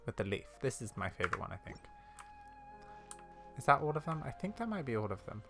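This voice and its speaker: A woman chats casually while playing a video game, thinking aloud. Her voice is low and her intonation lively. Faint game music plays behind her. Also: quick pace. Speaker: gamer commentating on a playthrough. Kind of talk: casual let's play chat.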